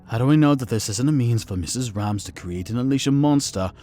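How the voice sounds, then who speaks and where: A man's voice: mid-range voice, a little fast, warm tone, close to an everyday voice. A man acting a stern, distrustful knight commander in an audio drama, challenging and authoritative, with music behind. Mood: suspicious, indignant. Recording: music under the voice.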